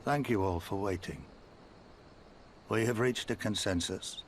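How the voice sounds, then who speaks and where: An actor playing a blunt older resistance commander in a video game, weary and proud, with measured, folksy speech. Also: male voice, lowish voice, slight background noise, close to an everyday voice. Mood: formal, solemn. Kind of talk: video game cutscene acting.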